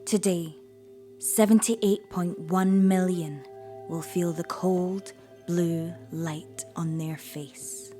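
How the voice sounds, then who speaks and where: A woman's voice: lowish voice, steady tone, takes it slowly. A woman performing a sombre narrator in an audio play, giving scripted dramatic narration over a music score. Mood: sombre, hushed. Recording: voice under prominent music score.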